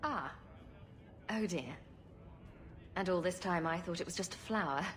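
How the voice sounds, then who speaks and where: A woman's voice: on the low side, measured pace, in a large hall, slight background noise, lightly acted. An actress playing a sarcastic female rogue adventurer in a video game, flippant and dryly humorous. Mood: teasing, awkward. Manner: flippant, dry humour. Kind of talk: dramatic video game dialogue.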